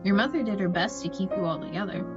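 A woman acting a sympathetic interviewer in a radio play, gentle and inquisitive. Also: mid-range voice, expressive, fast talker, muffled recording, loud music behind the voice, close to an everyday voice. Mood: concerned, curious. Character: sympathetic female interviewer listening to survivor.

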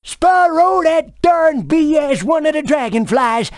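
A woman's voice: sits high, slow pace, darkish tone, dry recording, clean studio sound. A woman playing a folksy, chatty honey-swamp dragon in a video game. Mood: concerned. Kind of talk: scripted video game character dialogue.

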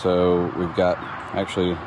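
A man casually walking through his home's construction site, explaining the plumbing in a relaxed, plain-spoken way with plenty of ums. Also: deep voice, unhurried. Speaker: homeowner showing his build. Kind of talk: casual walkthrough narration. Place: outdoors at a building site. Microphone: phone camera held while walking. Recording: outdoor phone audio with clear background noise.

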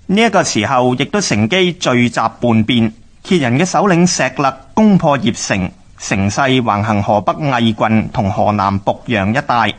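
A male narrator of a historical radio programme, with a Hong Kong accent. His voice is mid-pitched, and he delivers scripted exposition formally.

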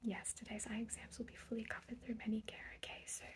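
A young woman doing an ASMR roleplay as an eye examiner, speaking gently and reassuringly, close to the mic in a small room. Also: a little high, monotone, quick pace. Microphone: close mic, leaning in near the camera.

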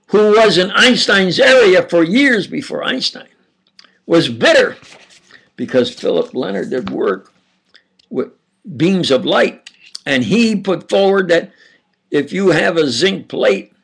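An older man with an Upstate New York and New Jersey accent, giving a halting, insistent monologue lecture with sudden loud emphasis. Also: high voice, sing-song, gravelly, breathy edge. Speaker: self-styled preacher lecturing on camera.

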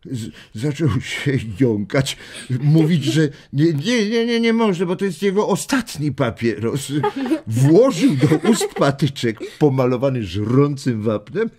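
An old grandfather spins family tales for an audio drama for children. His husky voice takes its time, amused and full of expression, rising and falling widely. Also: fairly high pitch, full character voice. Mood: amused, lively. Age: older. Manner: unhurried, chuckling, expressive. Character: warm, amused old grandfather telling family war stories. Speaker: storyteller.

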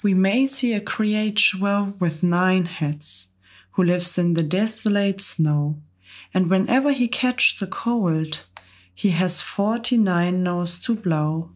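Bragging storybook centipede guy reciting silly rhymes, a lady reading him, slow and breathy. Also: on the low side. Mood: gleeful. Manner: rhyming, gleeful recital. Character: excitable boastful male centipede.

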